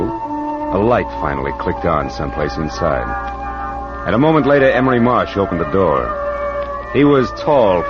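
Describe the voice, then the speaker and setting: A man playing a wry, wisecracking private detective with an American accent, in 1940s radio acting style. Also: deep voice. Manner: wisecracking, hard-boiled. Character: wry, tough male private detective narrating his case. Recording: dull vintage radio recording with music.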